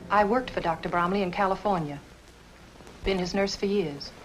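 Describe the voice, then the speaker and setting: An actress playing an earnest, composed nurse in a 1950s film noir, polite and restrained, on an old film soundtrack. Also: female voice, medium pitch, moderate pace, background noise, lightly acted. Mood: earnest, subdued.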